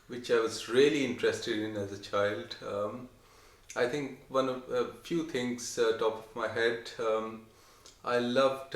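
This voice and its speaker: A young adult man from Pakistan, answering his wife's questions in a soft, hesitant way with many pauses. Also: mid-range voice. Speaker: interviewee. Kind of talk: casual chat. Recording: echoey room.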